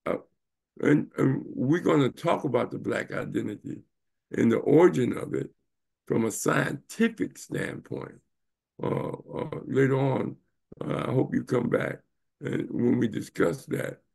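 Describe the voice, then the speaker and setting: A man's voice: medium pitch, unhurried, a little mellow. A man in his seventies hosting an interview over a video call, talking in an emphatic, wandering way with many fillers. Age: 70s. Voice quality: gravelly, husky. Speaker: show host and professor. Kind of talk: casual interview talk.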